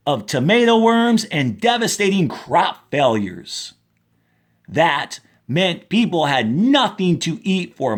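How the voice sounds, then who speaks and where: A male schoolteacher reads aloud for young pupils. His delivery is slow and deliberate, with clear instructive pauses. The pitch moves expressively to lift key words. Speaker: fourth-grade teacher reading aloud to the class.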